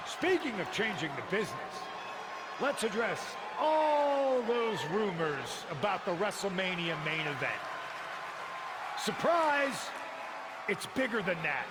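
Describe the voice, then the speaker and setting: A man playing a cocky rebel wrestler and faction leader, giving a boastful promo speech with dramatic pauses over a loud arena crowd. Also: sits high, sing-song, heavy background noise, exaggerated voice. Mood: boastful, defiant. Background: roaring arena crowd.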